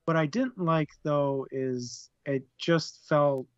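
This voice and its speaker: Slow-talking man on a dull, lo-fi mic. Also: on the high side, clear, resonant tone, no room sound. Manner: thoughtful, halting, repeats words while searching. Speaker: book club podcast co-host. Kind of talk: casual book discussion.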